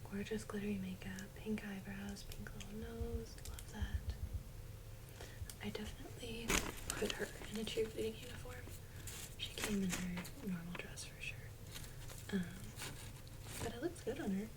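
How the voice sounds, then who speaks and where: A woman speaking softly and breathily in casual ASMR style, often slipping into a whisper, gently musing to herself. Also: mid-range voice, fairly even intonation, moderate pace, pauses often. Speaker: ASMR video creator. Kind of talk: soft-spoken ASMR, casual toy unboxing chat. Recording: loud crinkling and clicking handling noise. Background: crinkles, clicks, crumpling.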